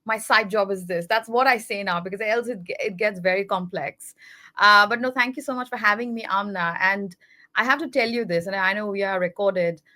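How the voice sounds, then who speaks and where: A woman's voice: medium pitch, a little fast, sharp tone. Chatty woman from India, laughing. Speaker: podcast guest. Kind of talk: conversational interview.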